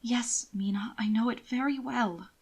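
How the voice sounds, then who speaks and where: Casting: woman reading a gentle, deferential young lord, anxious and pained, softly hesitant, for storybook narration. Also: a little high, unhurried, breathy edge. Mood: tense, deferential. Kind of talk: audiobook character acting.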